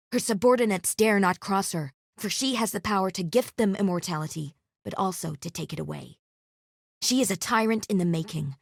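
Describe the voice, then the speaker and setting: A woman playing a stern, vengeful warrior guardian of a secret order in a video game, speaking in formal, measured, grave phrases. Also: fairly low pitch, no room sound, clean studio sound. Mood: grave, wary. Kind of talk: scripted game character voice lines.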